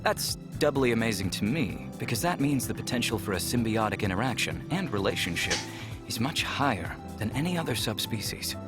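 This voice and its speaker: An actor voicing a curious, polite alien scholar in a visual novel game, speaking in measured, earnest sentences. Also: male voice, on the low side, animated, lightly acted. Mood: earnest, scholarly. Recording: music clearly playing behind.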